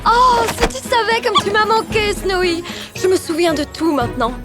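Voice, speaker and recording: Sassy, dramatic cartoon teen girl; high-pitched, offhand, music behind. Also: lively intonation, moderate pace. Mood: nostalgic. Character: sassy, easily bored, dramatic young girl. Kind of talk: children's cartoon dubbing.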